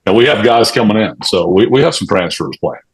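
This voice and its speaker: A man on a sports talk show, giving unscripted analysis in a halting, conversational way. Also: fairly low pitch, expressive, creaky, breathy edge, rough recording. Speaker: sports radio show panelist.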